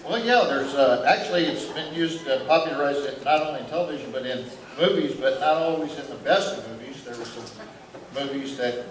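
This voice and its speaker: A man's voice: mid-range voice, a little fast, a little hiss or hum. A man bantering on stage as a guest musician, with folksy, self-deprecating humor. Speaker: guest musician on a variety show. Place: large indoor hall before a live audience. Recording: echoey hall from far off, audience and music.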